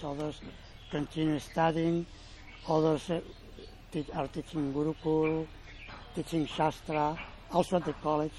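A man from Spain, answering audience questions in an informal presentation, often pausing and correcting himself. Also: on the high side, a little mellow, slight background noise, poor audio. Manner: halting, self-correcting, explanatory. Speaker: college representative.

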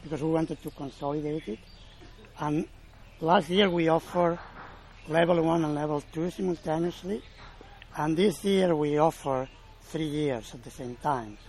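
A man from Spain, answering audience questions in an informal presentation, often pausing and correcting himself. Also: fairly high pitch, a little mellow. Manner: halting, self-correcting, explanatory. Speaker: college representative.

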